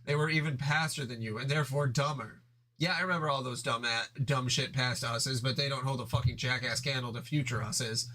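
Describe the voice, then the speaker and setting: A man voicing an angry, foul-mouthed young male troll who yells crude insults. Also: medium pitch, a little fast, lightly acted, rough recording. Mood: furious.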